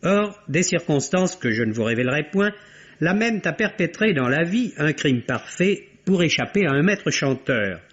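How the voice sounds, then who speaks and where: A man gives a scripted radio film review in an articulate, literary and measured way, on a vintage radio recording. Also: on the high side, expressive, a bit bright, breathy edge, dull audio. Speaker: film critic.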